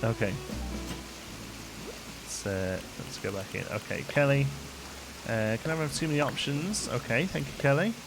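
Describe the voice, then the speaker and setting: A man's voice: lively intonation, moderate pace, creaky, long pauses, rough recording. A man chatting casually while streaming a game, thanking viewers and reading their gift notes. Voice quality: deep voice. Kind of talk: casual live gameplay chat. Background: game music.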